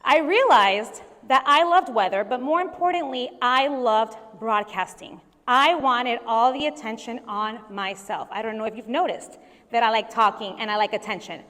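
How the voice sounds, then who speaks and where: High-voiced woman speaking in a hall. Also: moderate pace, fairly bright tone. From Venezuela. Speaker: keynote speaker. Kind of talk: conference keynote speech. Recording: long hall reverb.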